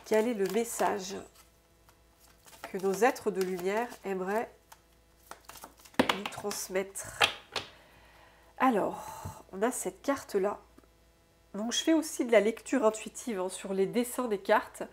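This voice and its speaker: A woman with a stuffy, congested nose from a cold, giving an intuitive oracle card reading to her viewers, musing aloud softly and often tagging her sentences with "hein". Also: mid-range voice, slight background noise. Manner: soft, unhurried, musing aloud with frequent "hein". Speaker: soul therapist and oracle card reader.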